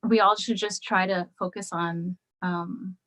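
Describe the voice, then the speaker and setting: A woman who is a musician guest on a podcast, answering in a reflective, hesitant way with many pauses and restarts. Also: medium pitch, fairly even intonation, fairly bright tone. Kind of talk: casual interview chat.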